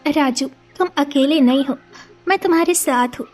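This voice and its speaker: Casting: woman for high-pitched young cartoon village woman, loyal, resolute, melodramatic. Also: moderate pace, darkish tone, soft background music, lightly acted. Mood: urging, supportive. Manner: earnest, determined, emphatic. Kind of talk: melodramatic cartoon moral-story acting.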